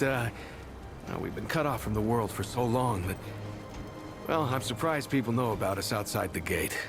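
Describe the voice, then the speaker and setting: Music sits behind a friendly, awkward mayor in a post-collapse game. The man's mid-pitched voice moves around a lot as he speaks, earnest and halting. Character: earnest, awkward, kindly male mayor of a survivor settlement. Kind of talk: video game cutscene acting.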